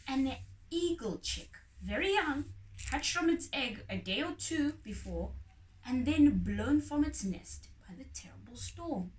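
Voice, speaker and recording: A woman reading a children's story aloud in an expressive, dramatic way. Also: sits high, a little slow. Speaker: storybook reader. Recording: roomy echo, distant mic, faint noise.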